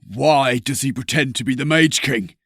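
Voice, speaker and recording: A man playing a commanding, threatening nobleman in an audiobook drama, intimidating and deliberate, with a husky voice. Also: medium pitch, fairly bright tone, dry recording, clean studio sound. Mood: demanding, suspicious. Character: menacing nobleman, commanding, threatening, quick to frustration.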